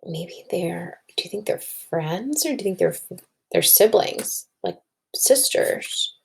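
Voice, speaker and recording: A woman's voice: lowish voice, expressive, takes it slowly, vocal fry, in a whisper. A woman teacher doing a children's read-aloud and story discussion, thinking aloud, recorded indoors at a computer.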